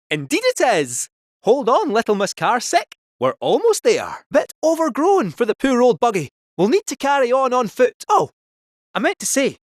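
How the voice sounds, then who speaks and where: An actor playing a small, cheeky talking robot cat in a video game, with a Scottish accent and a jaunty, theatrical delivery. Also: male voice, very high voice, fairly bright tone, dry recording, studio quality, full character voice. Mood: upbeat. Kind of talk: animated video game character dialogue.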